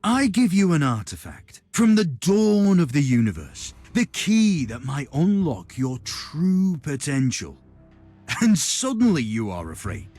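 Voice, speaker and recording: Stern, commanding inquisitor. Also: male voice, fairly high pitch, wildly swooping pitch, measured pace, darkish tone, no room sound, faint music behind, full character voice. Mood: imperious. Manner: imperious, curt, formal. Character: stern, commanding, self-assured male inquisitor. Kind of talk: dramatic game cutscene acting.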